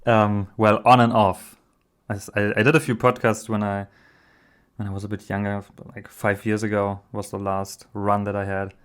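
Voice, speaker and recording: A man hosts an interview podcast. His voice is on the low side, and he speaks as an interviewer from Germany. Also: flat delivery, moderate pace, clear, resonant tone. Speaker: podcast host. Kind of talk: interview hosting.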